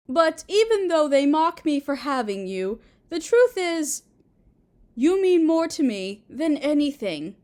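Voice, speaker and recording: Casting: female actor for clingy, motherly planet spirit in roleplay audio, high sweet voice, slow and pleading, very expressive. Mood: wistful, defensive. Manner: tender, hesitant, pleading, sweetly overbearing. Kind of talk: roleplay audio drama, intimate monologue.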